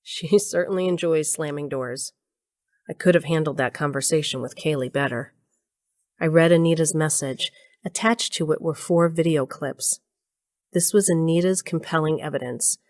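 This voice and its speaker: A woman's voice: sits low, moderate pace, darkish tone, no room sound, close to an everyday voice. An actress playing a sardonic, weary older woman scientist who narrates her own story in a dry way. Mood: reflective. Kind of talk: first-person audio drama narration.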